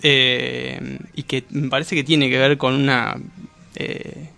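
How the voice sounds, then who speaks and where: An Argentine man in a casual radio discussion, explaining things in a halting way, correcting himself and often checking that he is followed. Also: mid-range voice, level tone, creaky, bright, forceful tone. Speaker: guest discussing comics and manga.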